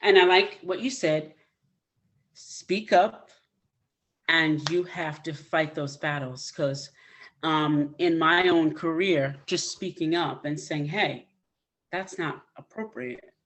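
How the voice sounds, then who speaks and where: A woman's voice: low-pitched, slow, fairly bright tone. A woman hosting an interview podcast, talking enthusiastically and emphatically urging her listeners on. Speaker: podcast host.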